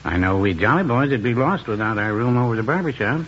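Man playing mild older quibbler, droll, fussy, lilting pitch, 1950s radio comedy, muffled hissy recording. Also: lively intonation, fast, fairly bright tone, close to an everyday voice. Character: dry, mild, fussy older male small-town friend.

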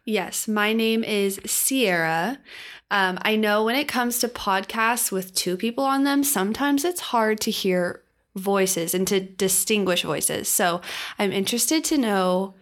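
Young woman cohost, medium pitch, upbeat, explaining whats coming up in the episode. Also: a little slow. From Oregon. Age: young adult. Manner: upbeat, lays out the plan for the episode. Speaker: podcast co-host. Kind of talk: casual chat.

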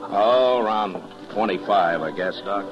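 Very deep-voiced frontier marshal, played by a man. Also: unhurried, dull audio, close to an everyday voice. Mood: dry, easygoing. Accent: American. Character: stern, principled frontier marshal of the Old West. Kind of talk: 1950s radio western acting and first-person narration. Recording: vintage radio broadcast with music.

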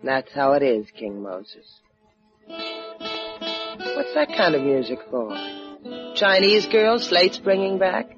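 A woman's voice: lowish voice, sing-song, slow pace, long pauses, full character voice. A woman playing a cool, worldly dame, laconic and wry, in a 1950s radio drama. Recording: dull old radio recording with guitar music.

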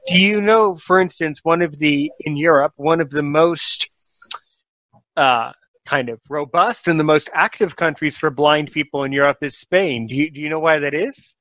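A man's voice: on the high side, normal speed, fairly bright tone, no room sound, muffled recording. A man hosting a casual podcast talk show, encouraging and rambling, often correcting himself mid-sentence.

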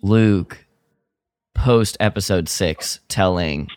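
A man's voice: deep voice, slow, a little mellow, no echo, studio quality. A man co-hosting a podcast, talking in a casual fan-chat style. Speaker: podcast co-host.

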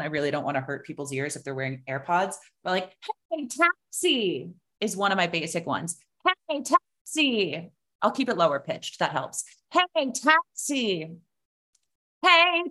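A woman's voice: medium pitch, sharp tone. A young woman, a singing coach, chatting on a podcast and breaking into sung demonstrations. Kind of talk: casual podcast chat.

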